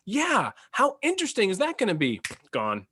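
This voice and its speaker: A man co-hosting a casual panel discussion, telling things in an animated, reactive way with casual swearing. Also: high voice, moderate pace, slightly rough. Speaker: podcast co-host.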